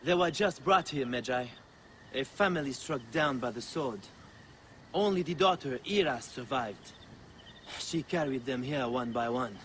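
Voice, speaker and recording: A sympathetic temple priest, mournful in tone. His pitch is medium and his delivery is dramatic game acting, with slight ambient sound behind. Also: expressive, a little slow, a bit bright, a little hiss or hum. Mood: sorrowful, pitying.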